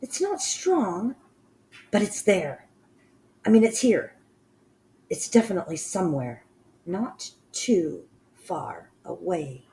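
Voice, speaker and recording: A woman voicing a shrill female witch who sniffs out a scent and shrieks in alarm. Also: sing-song, slow pace, long pauses, dark tone, a little hiss or hum, heavily acted. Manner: shrieking, high and frantic.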